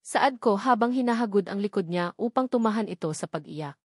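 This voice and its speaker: A woman's voice: mid-range voice, expressive, a little fast, slightly rough, dry recording, clean studio sound. A woman with a Filipino accent reading scripted narration from a romance novel. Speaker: audiobook narrator.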